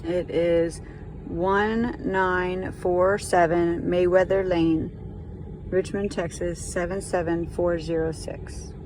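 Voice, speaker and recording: A woman speaking hesitantly and haltingly as a caller explaining a situation on a phone call. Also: lowish voice, little pitch movement, a little mellow. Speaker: caller reporting to sheriff's department. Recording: noisy phone call, car and traffic noise.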